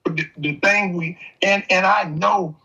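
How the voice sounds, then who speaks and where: A man's voice: sits high, fairly even intonation, measured pace, bright, forceful tone. An older man in casual, opinionated conversation, telling stories in an emphatic, streetwise way. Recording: dull, lo-fi audio.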